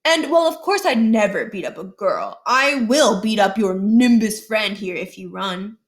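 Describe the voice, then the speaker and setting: A woman's voice: mid-range voice, animated, measured pace, lightly acted. A woman voicing a smug schoolboy bully who talks like a falsely polite politician in a children's storybook. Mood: threatening.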